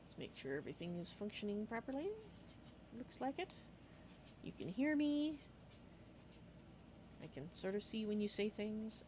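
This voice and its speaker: A woman hosting a livestream, chatting casually in a halting, explanatory way. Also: medium pitch, animated, darkish tone, slight background noise. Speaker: livestream host.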